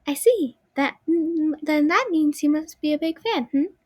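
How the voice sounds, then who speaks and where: Storybook animatronic puppet girl, timid and gentle, high and childlike, voiced by a young woman, dry close recording. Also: lively intonation, unhurried, no room sound. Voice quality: soft, childlike. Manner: timid, pleading when scared.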